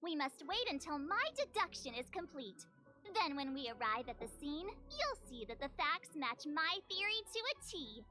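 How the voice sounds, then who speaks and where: A woman's voice: sits high, big pitch swings, normal speed, bright, forceful tone, faint music behind, heavily acted. A woman voicing a perky, eager teenage girl who plays detective with theatrical self-assurance, in anime-style game acting. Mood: confident, dramatic.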